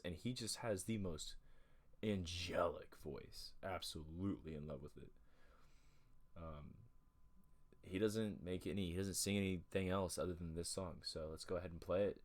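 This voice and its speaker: A low-pitched man hosting his own music show. He talks in a loose, easygoing way between tracks and rambles a little, with ums and uhs along the way. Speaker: host of an online music radio show. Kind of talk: casual on-air chat between songs.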